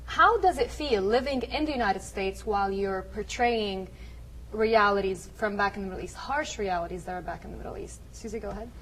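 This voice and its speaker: A woman's voice: mid-range voice, brisk, fairly bright tone, slight background noise, rough recording. Talk show host woman, laughing often. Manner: friendly, laughs often, filler-heavy. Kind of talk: talk show hosting, casual and joking.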